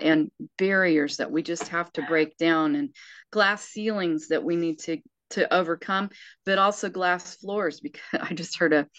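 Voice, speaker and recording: A woman's voice: low voice, fairly bright tone, dry recording, dull audio. A sixty-year-old woman speaking earnestly and emphatically in casual conversation, often restarting her sentences. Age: 60s.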